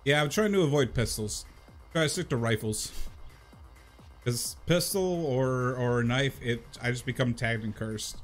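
A man's voice: mid-range voice, measured pace, faint music behind. A man in his thirties chatting casually to viewers as he plays a game, rambling and repeating himself in an informal way. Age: 30s. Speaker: video game livestream host.

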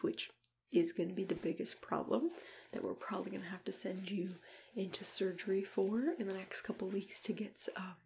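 A young adult woman in an ASMR roleplay as a dentist, speaking in a soft, breathy, mostly whispered voice with a gentle, reassuring manner. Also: mid-range voice, a little hiss or hum. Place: small plain room indoors.

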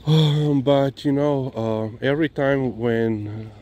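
A man's voice: mid-range voice, steady tone, dark tone. A man with a Slovenian accent rambles casually and hesitantly in a walk-and-talk vlog, often filling in with "you know". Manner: hesitant, halting delivery with frequent "you know". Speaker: dog owner and vlogger. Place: outdoors, on a walk. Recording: clearly noisy outdoor ambience, vehicle sounds.

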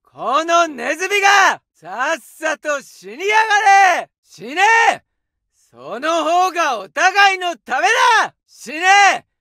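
A man voicing an aggressive young male infantry soldier in a war game, shouting taunts and orders. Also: very high voice, big pitch swings, slow, dry recording, clean studio sound, heavily acted. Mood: taunting.

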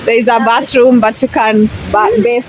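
A woman's voice: on the high side, fairly even intonation, moderate pace. A young woman with a Kenyan accent chatting casually for a vlog, mixing English and Swahili outdoors. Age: young adult. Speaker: vlogger. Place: outdoors at a forest campsite and riverside. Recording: noisy outdoor recording with ambient rush.

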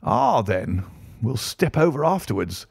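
A man voicing a hearty, unflappable country colonel with bluff, old-fashioned gentlemanly talk in an audiobook story. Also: medium pitch, very animated, unhurried, creaky, husky, dry recording, studio quality, pitched above the performer's own voice, exaggerated voice. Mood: coolly concerned. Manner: bluff, old-fashioned upper-class phrasing.

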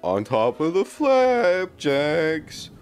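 A rambling, silly drunk man in an audio drama. He speaks extremely slowly in a swooping singsong, over faint music. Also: a little high, big pitch swings, a little mellow, heavily acted. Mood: merry.

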